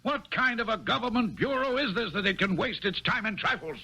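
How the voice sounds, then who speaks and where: High, raspy, pompous bureau chief. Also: male voice, expressive, brisk, bright, forceful tone, soft background music, heavily acted. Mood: pompous, gruff. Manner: pompous, scolding. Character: blustery, pompous male government bureau chief. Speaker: actor. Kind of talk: 1970s radio drama acting.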